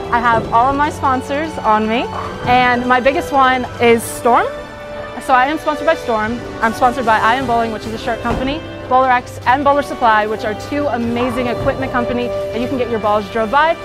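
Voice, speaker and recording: A teenage girl talking casually about bowling in an upbeat, chatty way with lots of fillers, interviewed in a bowling alley. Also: fairly high pitch. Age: teens. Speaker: high school bowler. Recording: music playing underneath.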